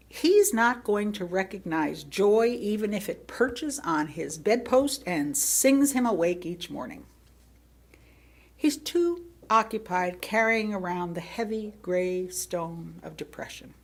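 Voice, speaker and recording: A woman delivers a written sermon as a lay speaker. Her pitch is mid-range but moves in lively swings, with a noticeable creak in the voice. She speaks reflectively, leaning on rhetorical questions and deliberate pauses. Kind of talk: sermon read from a prepared text.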